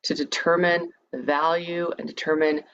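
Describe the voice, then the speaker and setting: A woman leading a guided meditation in a soothing, measured, invitational manner. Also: deep voice, fairly even intonation. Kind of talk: guided meditation, part improvised, part read lecture. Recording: dull, lo-fi sound with background noise.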